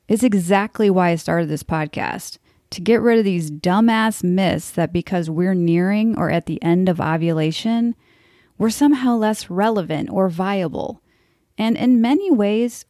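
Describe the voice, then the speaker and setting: A woman's voice: on the low side, warm tone, no echo, studio quality. A woman in her forties hosting a podcast, talking in a frank, blunt and wry way. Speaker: podcast host. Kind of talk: scripted podcast monologue.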